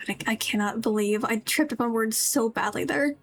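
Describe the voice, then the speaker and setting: Chatty high-pitched woman streaming a game. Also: moderate pace, creaky. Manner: chatty, rambling to viewers. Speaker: livestreamer playing a video game. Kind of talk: casual game stream commentary. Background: game music.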